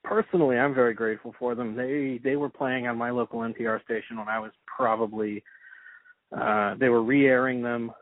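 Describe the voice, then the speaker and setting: A man's voice: medium pitch, steady tone, moderate pace, dry recording. A middle-aged American man asking questions in a casual, conversational interview style. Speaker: podcast interviewer. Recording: dry, narrowband phone-like sound.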